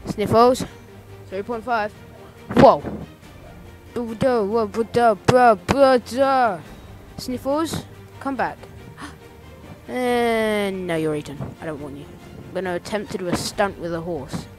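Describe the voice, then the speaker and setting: A man giving casual, rambling let's-play commentary, playful and easily excited. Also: high-pitched, takes it slowly, low-quality recording. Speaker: gameplay commentator. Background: game music.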